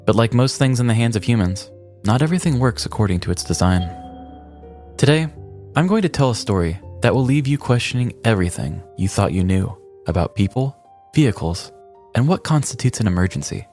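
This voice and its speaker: A man's voice: medium pitch, lively intonation, fairly quick, a little mellow, soft background music. A man hosting a podcast, reading scripted comedic narration in a mock-dramatic, tongue-in-cheek storytelling manner. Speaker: podcast host.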